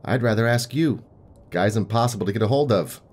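An actor playing a curt, cocky, needling boss in an audio drama, talking in a sardonic, dismissive, slangy way. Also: male voice, mid-range voice, animated, brisk, darkish tone, breathy edge.

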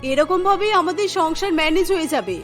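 A woman's voice: very high voice, expressive, a little fast, faint music behind. A woman voicing an earnest, pleading woman of a poor family in a cartoon story. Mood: reassuring.

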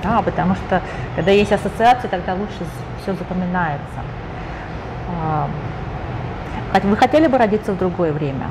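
A woman's voice: lowish voice, animated, normal speed. A woman interviewer asking chatty, admiring questions in a casual interview from a lounge. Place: lounge with leather sofas and bookshelves, indoors. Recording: noisy room ambience.

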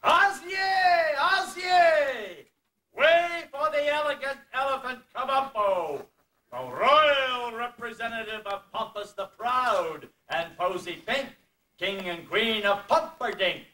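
Man acting pompous elephant puppet, courtly and fussy, bright forceful voice, theatrical declamation, roomy amateur recording. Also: very high-pitched, animated, heavily acted. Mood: grand, proclaiming. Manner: grandiose, declamatory, deliberate. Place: indoor convention stage, distant camera. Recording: roomy, distant amateur camcorder, faint noise.

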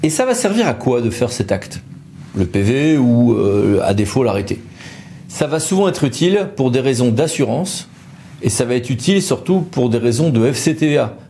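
A man's voice: medium pitch, big pitch swings, moderate pace, dark tone, slight background noise. A man explains legal matters to camera in a conversational, loosely improvised way. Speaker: lawyer presenting an explainer video.

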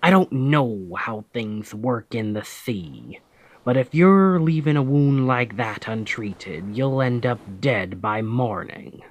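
Man acting a slow-talking, stern pirate captain. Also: animated, no room sound. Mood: gruff, firm. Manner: slow, deliberate, authoritative, phrases trailing low. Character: stern, commanding male pirate captain, gruffly protective. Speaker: ASMR roleplay voice actor. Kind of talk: ASMR roleplay acting.

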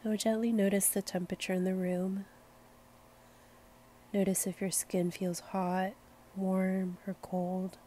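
A woman leading a guided meditation in a gentle, soothing, unhurried manner. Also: mid-range voice, little pitch movement, slight background noise. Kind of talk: slow guided meditation narration.

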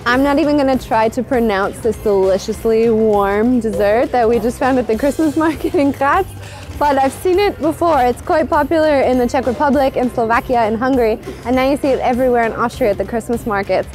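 A woman's voice: a little high, fairly quick, darkish tone. A woman in her thirties presenting a travel vlog in an enthusiastic, explanatory way. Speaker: travel vlogger, host. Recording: music bed behind the voice. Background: background music.

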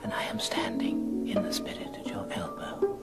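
A man narrating a story in an old radio drama, measured and theatrical. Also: in a whisper. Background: music.

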